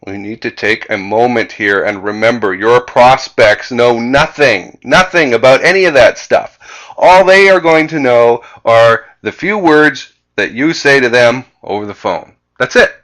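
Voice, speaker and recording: Canadian guy doing a sales training talk, bright punchy voice, really emphatic and deliberate. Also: medium pitch, rough recording. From Vancouver, Canada. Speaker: sales coach. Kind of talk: instructional slide presentation.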